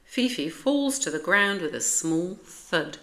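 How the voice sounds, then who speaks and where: Slow, expressive British woman reading a storybook. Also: fairly low pitch. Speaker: storybook narrator. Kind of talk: expressive read-aloud for children.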